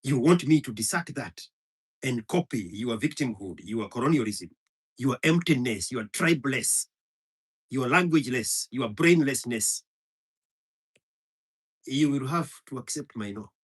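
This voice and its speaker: A man's voice: mid-range voice, lively intonation, unhurried, pauses often, breathy edge. A man from Kenya, a Kikuyu speaker, delivering a heated, profane monologue full of hammering repetition. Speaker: commentator. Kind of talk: impassioned monologue.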